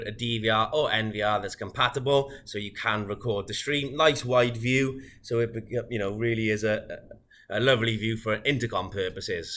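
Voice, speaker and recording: Male product demonstrator, bright forceful voice, mid pitch, methodical and informal tutorial delivery. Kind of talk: unscripted software walkthrough tutorial.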